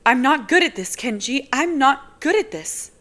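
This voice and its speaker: A woman voicing a flustered, defensive young woman in an audiobook, her lines pitched high and strained. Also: expressive, normal speed, fairly bright tone.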